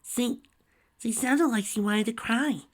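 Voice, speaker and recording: A man's voice: extremely high pitch, takes it slowly, voice pushed up. A man voicing a subdued, upset young woman in a story reading.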